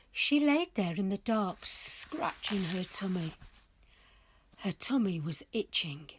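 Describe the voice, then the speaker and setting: Woman, children's book narration, expressive and lively intonation, slow deliberate delivery, medium pitch. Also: slightly rough. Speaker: school staff member reading aloud.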